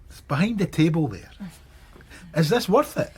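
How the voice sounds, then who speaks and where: An actor playing a weary middle-aged police detective in a radio drama, with a Scottish accent and a dry, wry manner. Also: male voice, a little high, animated, moderate pace, breathy edge.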